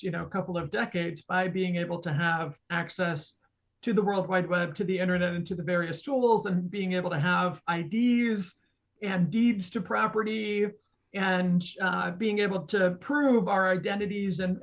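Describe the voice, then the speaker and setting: A woman co-hosting a podcast, explaining conversationally in an earnest, emphatic way, on slightly muffled audio. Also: lowish voice. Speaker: podcast co-host. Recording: dull, band-limited audio.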